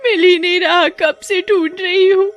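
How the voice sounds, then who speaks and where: A woman's voice: very high voice, fairly even intonation, fairly bright tone, faint music behind, pitched above the performer's own voice. Wailing village mother. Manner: wailing, drawn-out, rustic speech. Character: wailing village mother searching for her son.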